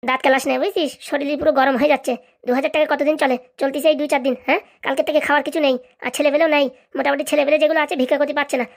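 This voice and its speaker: High-pitched, rapid-fire bossy begging-gang leader by a woman. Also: flat delivery, gravelly. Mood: angry, demanding. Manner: scolding, sarcastic, demanding. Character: bossy, grumbling, crooked ringleader of a begging racket. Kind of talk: comic cartoon dialogue.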